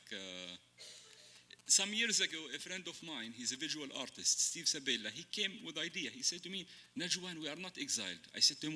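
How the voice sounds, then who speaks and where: Bright-voiced Arabic-speaking man, halting. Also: on the high side. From Palestine. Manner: halting, searching for words. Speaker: panel writer. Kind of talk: panel discussion. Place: stage.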